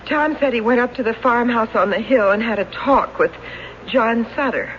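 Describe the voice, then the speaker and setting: Woman acting a worried wife, high voice, soothing, vintage radio drama, muffled hissy recording. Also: moderate pace, a bit bright, natural voice. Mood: anxious.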